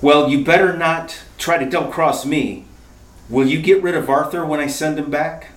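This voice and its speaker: An actor playing a breezy, sly, wry married detective in a retro radio mystery. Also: male voice, on the high side, normal speed, natural voice. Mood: sly, tough. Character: wisecracking married male amateur sleuth, breezy and sly. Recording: roomy, distant radio-play recording with music.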